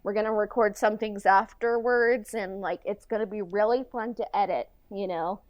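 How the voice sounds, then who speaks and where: A woman co-hosting a podcast in casual, halting chat peppered with filler words like "like" and "kinda". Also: mid-range voice, fairly even intonation, lo-fi sound, slight background noise. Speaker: podcast co-host and audio drama writer.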